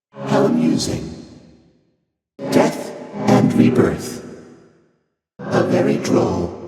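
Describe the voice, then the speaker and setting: An actor playing an ancient, otherworldly primordial deity in a video game, speaking formally and aloofly with dry amusement through a heavy reverb effect. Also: male voice, deep voice, full character voice. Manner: formal, archaic, deliberate, aloof phrasing. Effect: heavy otherworldly echo reverb. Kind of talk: video game character voice lines. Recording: cavernous long reverb, loud music behind.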